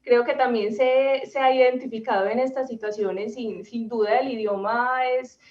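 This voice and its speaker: A woman's voice: on the high side, steady tone, normal speed, darkish tone, recorded from a distance. A woman from Colombia hosts a conversation, thinking aloud and repeating words as she finds her phrasing. She sits away from the mic in a roomy space. The audio is muffled. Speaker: host. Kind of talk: hosting a conversation.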